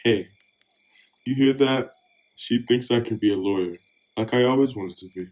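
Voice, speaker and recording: A man's voice: medium pitch, normal speed, a little mellow, natural voice. A man playing a friendly, wistful assassin in a radio play, talking casually. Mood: hopeful. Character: wistful, friendly assassin who dreams of being a lawyer.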